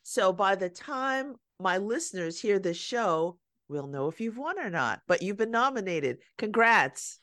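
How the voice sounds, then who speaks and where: A woman host asks her questions slowly and deliberately, her lowish voice moving expressively up and down. The sound is dry and close, with no room around it. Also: animated, fairly bright tone, no room sound. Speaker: podcast host. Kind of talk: interviewing a guest.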